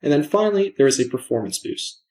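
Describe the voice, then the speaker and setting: A man presenting a software demo in scripted narration, measured and explanatory, enunciating his words. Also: on the high side, animated.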